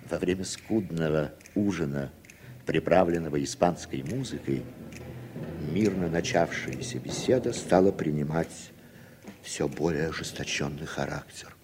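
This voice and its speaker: An actor playing an evasive, sardonic American writer in a 1960s Soviet radio drama, with a husky voice. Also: male voice, a bass voice, animated, unhurried, over music. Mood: reluctant, reminiscing.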